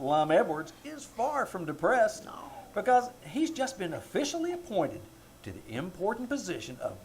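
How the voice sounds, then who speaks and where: A man playing a theatrical 1940s radio announcer, narrating the setup of a serial in a measured way. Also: sits high, poor audio. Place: small-town theater stage, before an audience. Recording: live stage with background noise.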